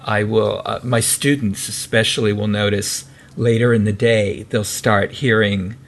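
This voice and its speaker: A man's voice: lowish voice, steady tone, a little slow, vocal fry, a little hiss or hum. A man with a General American accent co-hosting a podcast, chatting casually with lots of restarts and fillers. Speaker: podcast co-host.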